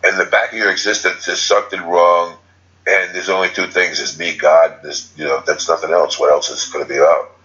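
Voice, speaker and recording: A man's voice: deep voice, flat delivery, brisk, bright, forceful tone, dull audio. A man giving an unscripted spiritual talk as a teacher, rambling and correcting himself as he goes. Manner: rambling, restarts and self-corrections.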